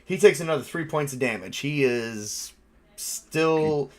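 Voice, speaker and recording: Sweary, higher-voiced man running a tabletop game. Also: measured pace. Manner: sweary, excitable. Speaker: game master and player of a tabletop RPG. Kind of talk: casual tabletop game play, narrating action and rules.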